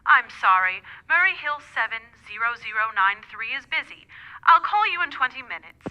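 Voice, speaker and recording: Radio play switchboard woman: high, lilting, courteous and unflappable, heard through a telephone filter. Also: very animated, normal speed. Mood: polite, detached. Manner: sing-song, formulaic courtesy. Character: polite, unflappable female telephone operator. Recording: dull, tinny telephone effect.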